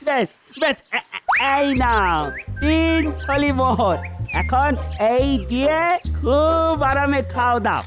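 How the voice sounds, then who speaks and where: A woman acts out a sly old devil from a cartoon, high in pitch and slow in delivery. He taunts and wheedles, with music playing behind him. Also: expressive. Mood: wheedling. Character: sly old male devil with goat hooves, taunting tempter. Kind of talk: animated cartoon dub.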